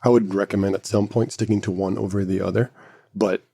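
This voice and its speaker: A man who grew up with Arabic as his first language talks through software features on a casual podcast. His voice sits a bit low, with a mellow, dark tone and a gravelly edge. He explains patiently, step by step, with plenty of fillers. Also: little pitch movement, a little fast. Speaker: podcast co-host. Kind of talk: casual tech podcast chat.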